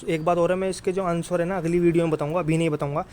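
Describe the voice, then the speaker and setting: Guy teaching exam prep, kinda high voice, monotone, some noise in the background. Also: very fast. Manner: repeatedly checks in with listeners in a familiar, brotherly way. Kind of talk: informal exam coaching talk.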